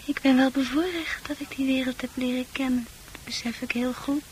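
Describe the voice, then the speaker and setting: Casting: woman to play dreamy, troubled young female confidante for Dutch radio drama, high-pitched, poetic and earnest. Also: fairly even intonation, a little fast, a little hiss or hum, lightly acted. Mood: wistful, confiding.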